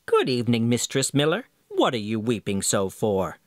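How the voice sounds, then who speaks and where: A man's voice: mid-range voice, wildly swooping pitch, moderate pace, dry recording, studio quality. A man voicing a scheming little magical imp in a fairy tale, bargaining in a sly, theatrical manner.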